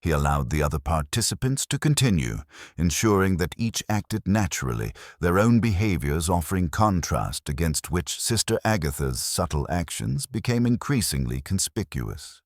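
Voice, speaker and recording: A man narrating a scripted mystery story in a measured, formal storytelling manner. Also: deep voice, very animated, dry recording, studio quality. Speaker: audiobook narrator.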